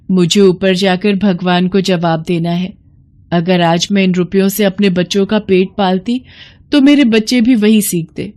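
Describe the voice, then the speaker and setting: A woman plays a poor blind mother from an animated moral tale. She speaks with humble, pleading sincerity and open emotion, pitched a little above plain narration. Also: lightly acted. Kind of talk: Hindi animated moral story acting.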